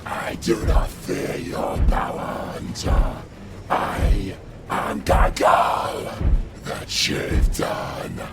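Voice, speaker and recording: A man voicing a menacing, wrathful gargoyle chieftain in a fantasy audio drama, with a growling monster voice and bombastic, threatening archaic speech. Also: drawn-out words. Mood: scornful fury. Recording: loud music behind the voice. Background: loud music.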